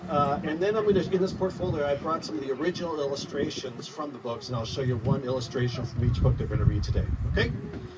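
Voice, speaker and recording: A man reading a rhyming picture book aloud to young listeners in an expressive, animated storytelling style. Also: medium pitch, fast talker. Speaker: children's author reading aloud. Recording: noisy, distant mic, live room ambience.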